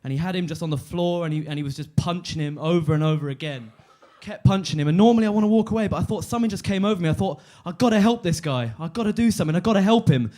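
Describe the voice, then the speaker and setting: Fidgety young stand-up guy, deadpan. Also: high-pitched. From London. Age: 20s. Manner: deadpan, halting with fillers and restarts. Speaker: stand-up comedian. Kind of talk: stand-up set. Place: comedy club stage.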